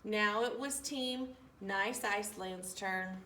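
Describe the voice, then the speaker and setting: A woman reading a children's storybook aloud with an animated, deliberate, singsong delivery. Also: mid-range voice. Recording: roomy, distant mic, faint room noise.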